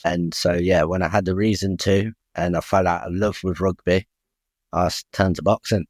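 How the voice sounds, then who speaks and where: Young guy boxer on a podcast, lowish voice, dry close sound, chatty and frank. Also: steady tone, moderate pace, darkish tone, no room sound. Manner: open, frank, chatty, restarts with "I'll be honest". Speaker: guest boxer. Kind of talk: candid podcast interview.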